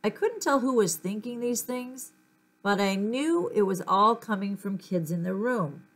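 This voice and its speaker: Female narrator for a children's chapter book, medium pitch, expressive read-aloud. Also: unhurried. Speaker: story reader.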